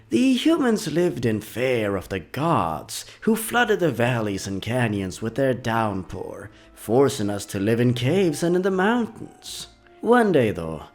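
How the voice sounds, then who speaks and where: A man's voice: mid-range voice, heavily acted. A man voicing a folksy old grandmother who tells children an ancient tale in a theatrical way. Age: older.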